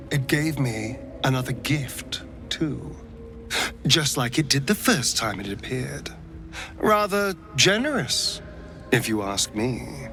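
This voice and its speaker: An actor playing a flirtatious schemer in a video game, with an arch, insinuating delivery. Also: male voice, on the high side, a bit bright. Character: suave, flirtatious, scheming man. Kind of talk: video game character acting.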